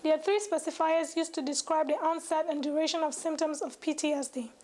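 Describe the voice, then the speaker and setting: A woman's voice: high-pitched, fairly even intonation, fairly quick, a little mellow. A young woman, a medical student, giving a scripted educational presentation, reading formally and carefully with occasional stumbles. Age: young adult.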